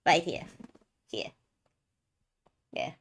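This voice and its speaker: A woman hosting a game livestream, chatting casually and rambling as she thinks aloud. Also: extremely slow. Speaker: game streamer, host.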